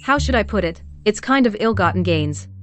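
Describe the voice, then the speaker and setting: A woman's voice: mid-range voice, expressive, fairly quick, soft background music, close to an everyday voice. An actress playing a composed, shrewd young woman who talks in a cool, matter-of-fact way with dry humor. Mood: calm wry. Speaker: lead in a short drama. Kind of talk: dubbed drama acting.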